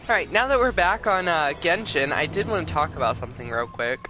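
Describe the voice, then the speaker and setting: A male streamer talks in a bright, slightly high voice. His chat is informal, wry and self-deprecating, with some game music behind him. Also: expressive, brisk. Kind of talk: casual livestream chat and commentary.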